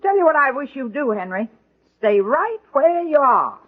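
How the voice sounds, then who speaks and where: A woman's voice: high-pitched, very animated, moderate pace. An actress playing a stern, bossy old grandmother in a 1940s radio comedy, giving imperious orders. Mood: bossy, brisk. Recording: tinny, thin vintage radio recording.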